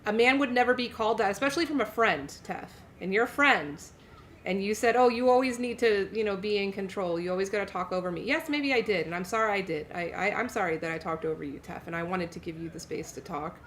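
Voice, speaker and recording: A woman's voice: mid-range voice, quick pace, fairly bright tone, slight background noise. A woman host in a casual group chat, making her point with emphatic repetition. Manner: emphatic, repeats points for weight.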